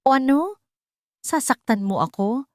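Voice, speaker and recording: A woman's voice: sits high, expressive, measured pace, no echo, studio quality, pitched above the performer's own voice. Woman playing a smug, scheming younger sister. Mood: taunting. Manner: mocking, provocative.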